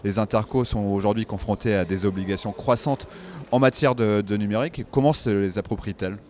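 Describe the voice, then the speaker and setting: A man's voice: fairly low pitch, brisk, a little mellow. A man working as an interviewer, putting questions to a guest at an event. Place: convention venue. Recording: faint ambient noise of a convention.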